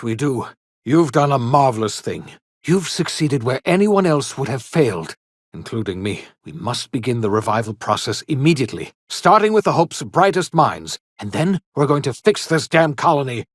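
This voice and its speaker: An actor playing an eccentric elderly scientist and rebel in a video game, earnest and theatrical, with formal, old-fashioned, emphatic phrasing. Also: male voice, medium pitch, slightly rough, no room sound, clean studio sound. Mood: earnest, hopeful. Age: older. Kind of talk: video game character dialogue.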